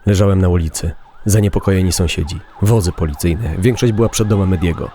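Low-voiced deadpan man narrating a story. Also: quick pace. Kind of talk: dramatic scary-funny story narration.

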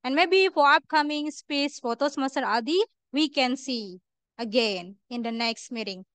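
A woman's voice: high voice, moderate pace, fairly bright tone, clear, resonant tone, no room sound. A young woman with an Indonesian accent speaking as the host of a club meeting.

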